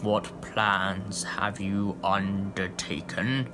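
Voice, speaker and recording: An actor playing a stately, defiant Lord President in a sci-fi audio drama, speaking in a grave, formal, measured way. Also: male voice, on the low side, flat delivery, music in the background. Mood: grave concern.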